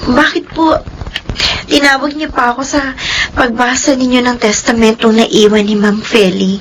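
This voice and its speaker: A woman's voice: fairly high pitch, steady tone, normal speed, slight background noise, lightly acted, low-quality recording. An actress playing a kind, humble young housemaid in a radio drama, emotional and respectful in her pleading. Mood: puzzled, meek.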